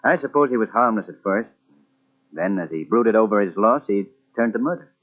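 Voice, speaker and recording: An actor playing a composed, urbane gentleman sleuth in a radio drama, matter-of-fact in manner. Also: on the low side, expressive, a little fast. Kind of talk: 1940s radio drama acting. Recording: tinny old radio recording.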